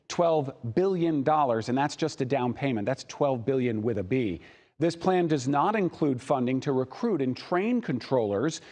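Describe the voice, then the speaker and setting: A man's voice: mid-range voice, a little mellow. A man working as an investigative reporter, explaining a story in a TV news studio.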